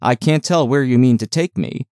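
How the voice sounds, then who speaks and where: A man's voice: medium pitch, normal speed, a little mellow, clear, resonant tone, no echo, clean studio sound, natural voice. A man voicing a frail, peevish young boy with plaintive questions in an audiobook. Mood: plaintive, stubborn. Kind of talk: audiobook character voice.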